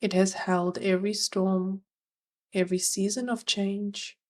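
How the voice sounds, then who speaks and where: A woman narrating a guided meditation as its guide, gentle and soothing, leaving long pauses between phrases. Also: mid-range voice, little pitch movement.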